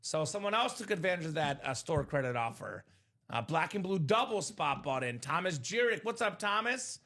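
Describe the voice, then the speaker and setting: High, lively, bright-voiced man hosting. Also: normal speed. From Bulgaria. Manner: upbeat, joking, shouts out buyers by name. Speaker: livestream sports card break host. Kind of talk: casual live-stream sales chat.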